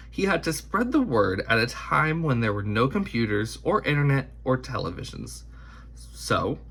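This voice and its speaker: A man narrates a children's biography as an expressive read-aloud. His voice is husky, with a gravelly creak at the edges. The intonation swings widely while the phrasing stays measured and deliberate. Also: medium pitch.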